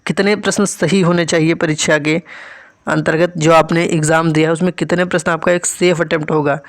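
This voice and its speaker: A man's voice: on the high side, level tone, fairly quick, dry recording. A man teaching as an exam coach, explaining score figures to students in an instructional way and repeating key points. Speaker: exam coach and channel host.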